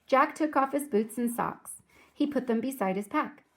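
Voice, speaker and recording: A woman reading aloud to children like a teacher, speaking clearly and deliberately in a storybook narration style. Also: high voice, fairly bright tone. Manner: clear, deliberate, addresses young listeners.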